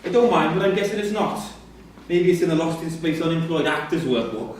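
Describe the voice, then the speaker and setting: A man plays a jeering, sarcastic male inmate in a high-pitched voice. The live stage recording is distant and clearly noisy, with heavy echo. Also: animated, a little fast, natural voice. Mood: mocking. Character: mocking, sarcastic male prisoner in a class. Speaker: actor in a staged reading.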